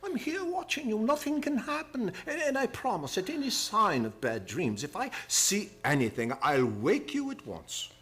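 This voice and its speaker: Husky, grave, devoted gentleman diarist played by a man, radio play, very dynamic pitch. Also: big pitch swings, normal speed, few pauses, heavily acted. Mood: tender, reassuring. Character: grave, devoted gentleman reading his private journal. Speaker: actor narrating from journals. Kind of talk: old-time radio drama acting.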